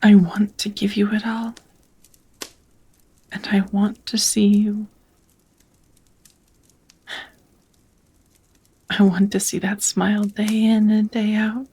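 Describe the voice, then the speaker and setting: A woman voicing a devoted, nervous vampire roommate in an intimate ASMR roleplay, whispering softly in a halting, earnest, tender way. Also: medium pitch, little pitch movement. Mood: adoring, earnest. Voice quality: soft, breathy whisper.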